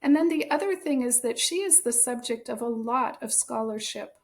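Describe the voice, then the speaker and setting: American lady being interviewed, higher voice, creaky edges, explains stuff carefully. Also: normal speed. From United States. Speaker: author and translator, interview guest. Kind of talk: conversational podcast interview.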